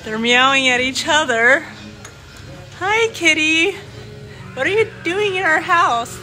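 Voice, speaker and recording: High-voiced, excitable young woman vlogging. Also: takes it slowly, faint music behind, rough recording. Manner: excitable, playful exclamations. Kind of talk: casual vlog chat to camera.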